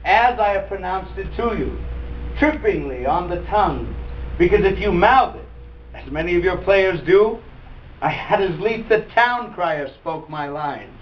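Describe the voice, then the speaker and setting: A man's voice: sits high, lively intonation, unhurried. A man performing an intense Danish prince in a classical stage monologue, declaiming formally and emphatically to a troupe of players. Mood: emphatic, commanding. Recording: distant muffled mic, background noise.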